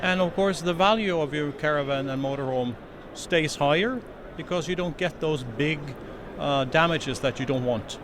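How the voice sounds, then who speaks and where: A man's voice: fairly high pitch, moderate pace, few pauses. A middle-aged man from Norway with a Norwegian accent, explaining a product earnestly in a trade show interview. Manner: earnest, slightly non-native phrasing with restarts. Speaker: company representative. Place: exhibition stand indoors. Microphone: handheld interview mic. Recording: noisy trade show floor.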